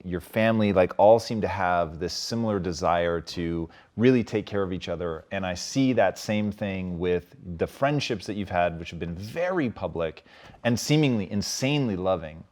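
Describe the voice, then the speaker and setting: A man's voice: low voice, a little mellow. A man hosting an interview, asking questions in an emphatic, admiring way. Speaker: host.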